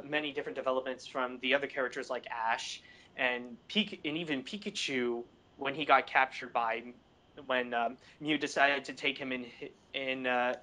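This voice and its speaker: A man in a casual film discussion, speaking haltingly and searching for his words, often saying "like". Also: medium pitch, a bit bright. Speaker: podcast panelist.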